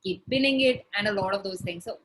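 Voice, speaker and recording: A woman's voice: medium pitch, fast talker, fairly bright tone, rough recording. A young woman speaks Indian English in a conversational way. She laughs lightly between points and uses a few fillers. There is a faint noise under her voice. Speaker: guest, blogger. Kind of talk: conversational interview answers.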